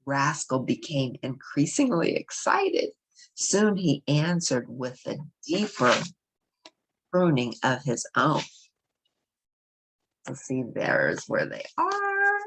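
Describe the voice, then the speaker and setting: A woman's voice: deep voice, huge pitch swings, takes it slowly, long pauses, fairly bright tone, a little hiss or hum, low-quality recording. A woman reading a children's novel aloud as a storybook narrator. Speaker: storybook reader.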